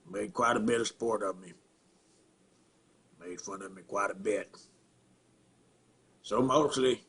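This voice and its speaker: A man acting a simple-minded rural Southern man in a halting, plainspoken dramatic film monologue, with a throaty rasp and grunts. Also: lowish voice, pushed and bright, full character voice. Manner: halting, repetitive, plainspoken, folksy.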